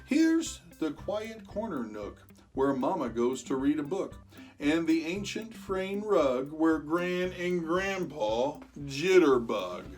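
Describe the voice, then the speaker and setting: A storyteller reading a rhyming picture book aloud to children in an expressive way, stretching words for emphasis and chatting with young listeners. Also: mid-range voice, takes it slowly, soft background music. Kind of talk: animated children's read-aloud of rhyming verse.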